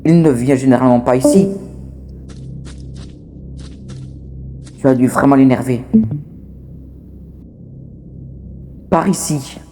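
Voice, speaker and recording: A man's voice: mid-range voice, quick pace, dark tone, faint music behind, natural voice. A man voicing a scrappy, talkative toy outcast, wary but scheming, in a fan dub of a horror game. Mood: alarmed. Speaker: amateur voice actor dubbing a game character.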